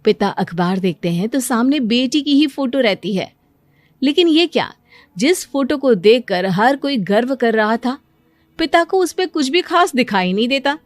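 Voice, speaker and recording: A woman's voice: fairly high pitch, animated, measured pace, dry recording. A woman giving scripted narration for a cartoon story. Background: music.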